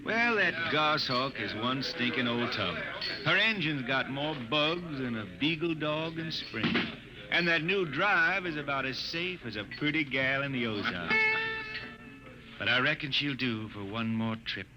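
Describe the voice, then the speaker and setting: A man's voice: mid-range voice, expressive, a little slow, close to an everyday voice. A man playing a drawling, hard-luck spaceman singer in a 1950s radio drama, with a folksy rural way of talking. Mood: scornful. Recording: old radio broadcast with music.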